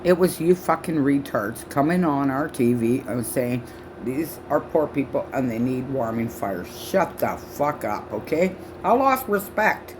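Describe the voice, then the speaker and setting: A woman in her sixties from Canada ranting loudly and profanely to camera on her own channel, her speech halting from false teeth. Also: rumbling low, expressive, background noise. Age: 60s. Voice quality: loud voice. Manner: shouting, profane, accusatory. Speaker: vlogger. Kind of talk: angry rant to camera.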